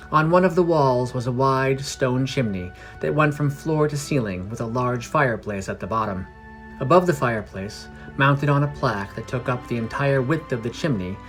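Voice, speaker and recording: A man reading a scripted fantasy story in a measured storytelling manner. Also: mid-range voice. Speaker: podcast narrator. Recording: music under the voice. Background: music.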